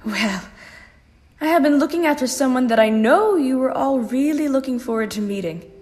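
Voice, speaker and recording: A woman's voice: sits high, animated, normal speed, darkish tone. An actress playing a kindly, plain-spoken old grandmother in an audio drama. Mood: consoling, eager.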